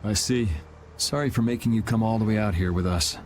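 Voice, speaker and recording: A man playing a calm, blunt young vigilante swordsman in a video game, laid-back and matter-of-fact. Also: lowish voice, fairly quick, slight background noise, lightly acted. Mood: relaxed.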